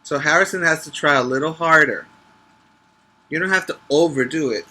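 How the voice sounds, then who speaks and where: A man's voice: a little high, moderate pace, bright, forceful tone. A male teacher reading a picture book aloud to preschoolers, adding instructive asides and short questions for the young listeners.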